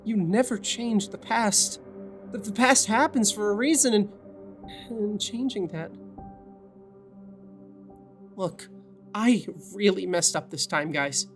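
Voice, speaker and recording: A withdrawn young witch, played by a woman, with a mid-pitched voice, hesitant and apologetic. The delivery is subdued audio drama acting over soft music. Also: slow, long pauses. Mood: guilty, pleading.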